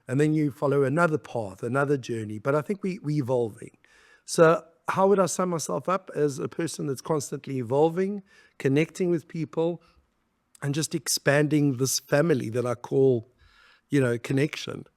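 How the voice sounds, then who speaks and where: A middle-aged man from South Africa, a podcast guest talking reflectively in a relaxed interview and laughing easily. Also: a little high, normal speed. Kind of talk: conversational interview.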